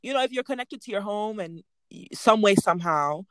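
A woman from Nigeria as a guest in a casual interview, relaxed and hesitant, with many pauses and fillers. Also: mid-range voice, no echo, rough recording.

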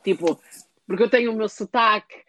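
A woman's voice: medium pitch, brisk, a bit bright, a little hiss or hum. A young adult woman in casual conversation, rambling and full of fillers, often restarting her sentences. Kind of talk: casual chat.

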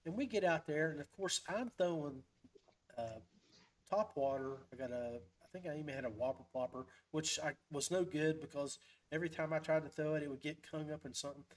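A man's voice: on the high side, brisk. A man hosting a podcast, chatting casually and telling stories in a folksy, rambling way. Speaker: podcast host. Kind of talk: casual fishing podcast chat.

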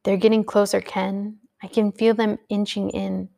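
A woman's voice: medium pitch, flat delivery, moderate pace, creaky, dark tone, natural voice. A woman reading aloud who voices a worried middle-aged mother, anxious and pleading.

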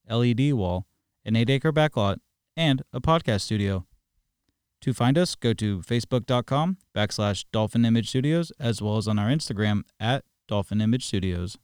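Male producer, low smooth voice, steady businesslike promo read. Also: no room sound, studio quality. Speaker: studio producer.

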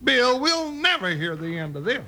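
An actor playing a big, hapless, excitable deputy sidekick who grumbles in folksy, whiny exclamations in a western radio serial. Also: male voice, very high-pitched, moderate pace, full character voice. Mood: indignant grumbling. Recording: noisy old radio recording.

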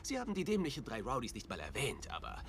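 Breezy, wildly animated eccentric detective. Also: male voice, medium pitch, fast, a little hiss or hum. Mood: chatty. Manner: chatty, flippant. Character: breezy, tactless, eccentric male detective, oblivious and upbeat. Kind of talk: dubbed TV drama dialogue.

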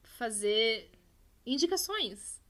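A woman's voice: a little high, big pitch swings, drawn-out words, a bit bright. A woman narrating as game master of a tabletop game, chatty and laughing often.

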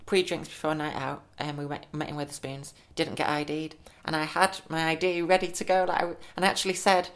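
A man's voice: fairly high pitch, little pitch movement, fairly quick, few pauses, fairly bright tone. A man, co-hosting a podcast in casual, upbeat chat, with a slight lisp from new teeth. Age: 20s. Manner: chatty, upbeat, laughs easily. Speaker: podcast co-host.

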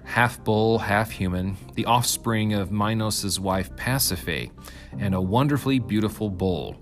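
A man with a fairly low voice narrates an old myth as a storyteller. His delivery is measured and dramatic, leaning on key phrases. Music plays clearly behind him. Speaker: reader, narrator.

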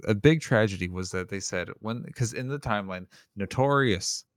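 Man, lowish voice, rambling enthusiastic chat, dry mic. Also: normal speed, no echo. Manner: enthusiastic, rambling, restarts sentences. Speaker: podcast co-host. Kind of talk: casual chat.

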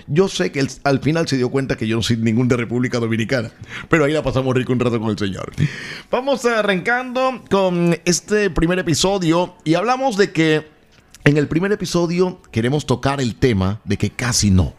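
A man's voice: a little high, lively intonation. Playful Colombian Caribbean radio man. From Colombia, Caribbean coast. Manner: playful storyteller, drawn-out phrasing. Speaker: podcast co-host, radio announcer. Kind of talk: casual podcast chat.

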